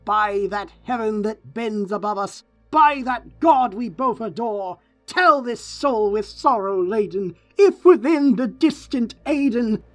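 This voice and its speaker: A man's voice: high-pitched, expressive, slow, few pauses, a bit bright, soft background music, pitched above the performer's own voice, exaggerated voice. A man playing an anguished, haunted, grieving man in a gothic poetry reading, crying out in impassioned, theatrical pleas. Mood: desperate pleading. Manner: impassioned, pleading, theatrical cries.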